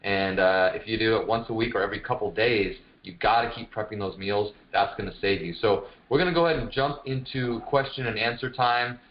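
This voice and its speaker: A man with a lowish voice talks as a fitness coach leading a group call. His delivery is informal and encouraging, like casual coaching. The sound is dull and lo-fi, as from a conference call. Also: a little fast, few pauses. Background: no music, voice on a group phone call.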